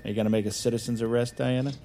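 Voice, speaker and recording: An actor playing a condescending finance boss in an audio drama. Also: male voice, mid-range voice, flat delivery, racing, noisy background, natural voice. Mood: smug. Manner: condescending, flustered laughs. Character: smug, stuffy male finance boss. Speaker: financial advisor.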